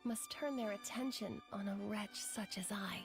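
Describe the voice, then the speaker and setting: A woman's voice: mid-range voice, moderate pace, over music, natural voice. A woman playing a young noblewoman mage in a video game, grandiose and theatrical, with formal archaic diction. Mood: meek, self-abasing. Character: haughty, theatrical young noblewoman mage, prone to abject self-abasement. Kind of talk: video game character acting.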